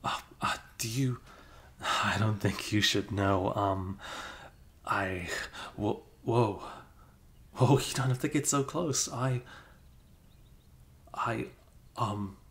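Close-mic ASMR roleplay: a low-voiced man, unhurried and hesitant, drops into whispers. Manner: soft-spoken, drifting into whispers, hesitant. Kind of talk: improvised ASMR roleplay as a best friend. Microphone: close microphone.

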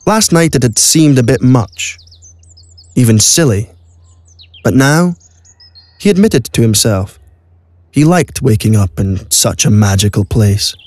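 A man's voice: medium pitch, animated, unhurried, long pauses, darkish tone, no echo. Man narrating, dry studio sound. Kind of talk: scripted story narration. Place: recording studio.

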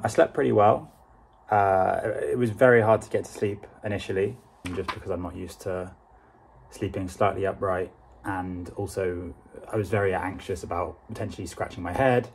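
Low, gravelly young British man. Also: steady tone, a little fast, a little hiss or hum. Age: young adult. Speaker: vlogger. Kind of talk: casual vlog talk to camera.

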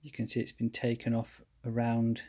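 A man calmly explaining his engine rebuild step by step while he works at a cluttered workshop bench. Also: mid-range voice. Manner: methodical, plain explanatory, pauses mid-phrase. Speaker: home mechanic rebuilding an engine. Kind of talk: hands-on workshop walkthrough.

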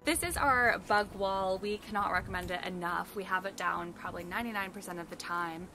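A woman's voice: mid-range voice, brisk, bright, forceful tone, faint music behind. A young woman casually showing her camper to the camera. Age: young adult. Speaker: van owner giving a tour. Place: outdoors beside a camper van in the woods. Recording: clear outdoor ambient noise.

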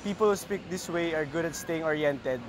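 A man's voice: on the high side, brisk. A young man from the Philippines, a university student, reading examples to camera outdoors on campus. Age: young adult. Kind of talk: scripted class video presentation. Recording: outdoor traffic noise behind.

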